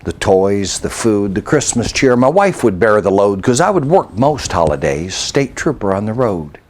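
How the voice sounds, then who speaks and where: An older American man recites a rhyming narrative poem in a measured, reflective storytelling manner. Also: lowish voice, big pitch swings, a little mellow. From United States. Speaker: storyteller and poet.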